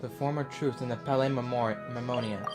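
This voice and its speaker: A man's voice: medium pitch, steady tone, fairly quick. A man giving casual, slangy live commentary as he streams a game, reacting aloud. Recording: game music behind the voice.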